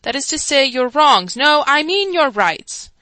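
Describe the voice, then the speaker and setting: A woman doing a squeaky pompous chancellor. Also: big pitch swings, unhurried, sharp tone, no echo, full character voice. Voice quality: thin, squeaky. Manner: pompous, flustered speechmaker. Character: fussy squeaky-voiced male court chancellor.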